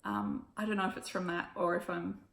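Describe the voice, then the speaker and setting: An Australian woman with a croaky, hoarse voice, chatting casually through a stitching haul with lots of ums and little laughs. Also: medium pitch, level tone, brisk, a bit bright, reverberant. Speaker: cross-stitch vlogger. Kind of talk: casual show-and-tell recap.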